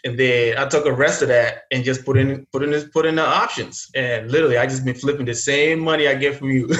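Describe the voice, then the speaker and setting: A man's voice: medium pitch, fairly even intonation, fairly quick, fairly bright tone, reverberant, highs cut off. A man in his thirties from South Sudan, whose first language is Arabic, chatting casually as an interview guest with many fillers and restarts. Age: 30s. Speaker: guest investor.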